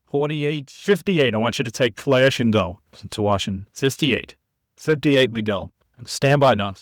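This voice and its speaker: A man's voice: mid-range voice, unhurried, dry recording, studio quality. A man working in a broadcast newsroom, giving clipped behind-the-scenes instructions and cues. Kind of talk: behind-the-scenes control room talk.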